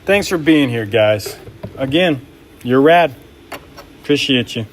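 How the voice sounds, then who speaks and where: A man's voice: mid-range voice, animated, slow pace, background noise. A man making knives during a live stream, chatting casually and reading viewer comments aloud in an upbeat, laid-back way.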